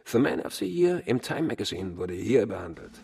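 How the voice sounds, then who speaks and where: A low, husky, imperious dying tycoon. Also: male voice, sing-song, moderate pace, darkish tone, soft background music, exaggerated voice. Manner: demanding, disgusted, theatrical emphasis. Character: dying tech tycoon, imperious, fastidious, scornful. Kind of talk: radio drama inner monologue.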